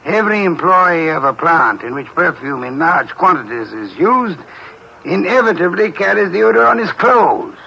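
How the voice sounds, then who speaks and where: A pompous detective in an old radio drama, with a gravelly, bright voice pitched a little high. He talks in a dry, lordly, sardonic way, as if everyone around him is a slight bore. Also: big pitch swings, normal speed, slight background noise, full character voice, rough recording. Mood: lecturing. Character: pompous, fussy, sharp-witted male detective. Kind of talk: 1940s radio acting.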